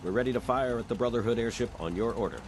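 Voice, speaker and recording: A man's voice: mid-range voice, fast, a little hiss or hum, natural voice. An actor playing a dutiful, deferential militia soldier formally reporting to his superior in a game. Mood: ready, dutiful.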